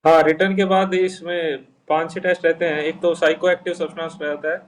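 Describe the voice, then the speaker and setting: A young man giving an informal, halting explanation as an interview guest, rephrasing step by step. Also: fairly high pitch, flat delivery. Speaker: interview guest, junior air traffic controller. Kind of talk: informal explanation in Hindi mixed with English terms. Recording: voice distant from the microphone.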